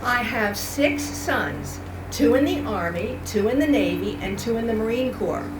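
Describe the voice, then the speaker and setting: A woman's voice: fairly high pitch, animated, unhurried. A woman playing a proud, plainspoken 1940s war mother in a live radio-play reenactment. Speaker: reenactor reading a radio script. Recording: noisy live event recording.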